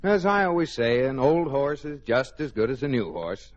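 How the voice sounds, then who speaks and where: An actor playing a polite, wry son-in-law with a courteous, mildly dry way of talking, in old-time radio comedy style. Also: male voice, mid-range voice, very animated, normal speed, close to an everyday voice. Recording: very noisy, muffled old radio recording.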